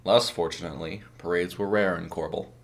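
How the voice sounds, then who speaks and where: Low-voiced man narrating a story. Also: steady tone, fairly quick. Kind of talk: scripted dramatic story narration.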